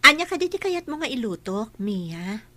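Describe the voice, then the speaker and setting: A woman's voice: a little high, very animated, normal speed, sharp tone, dry recording, full character voice. A woman playing an anxious, deferential young Filipina household helper in an Ilocano drama, polite and hesitant. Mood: obliging. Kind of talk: Ilocano radio-style drama acting.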